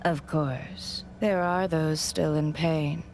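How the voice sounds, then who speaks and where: An actress playing an enigmatic, detached woman guide in a video game, speaking in a measured, formal, cryptic way. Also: low voice, fairly even intonation, background noise. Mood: calm, mysterious.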